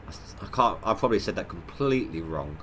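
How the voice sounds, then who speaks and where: A man's voice: low voice, lively intonation, quick pace, pushed and bright, slight background noise. A man with a head cold, sounding nasal, giving rambling, stop-start commentary on his gameplay livestream. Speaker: gaming livestreamer.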